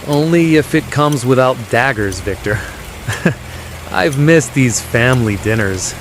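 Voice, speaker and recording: A man acting a wry, quietly defiant artistic cousin in an audio drama, with a dry, teasing manner. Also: medium pitch, animated, slow pace, slight background noise.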